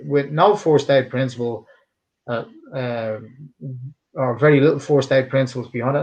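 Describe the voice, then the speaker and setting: A man's voice: mid-range voice, rough recording. Earnest middle-aged Dublin Irish man. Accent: Dublin Irish, somewhat polished. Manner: earnest and emphatic, rambling with restarts. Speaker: boxing and MMA cutman, interview guest. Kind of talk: conversational interview answers.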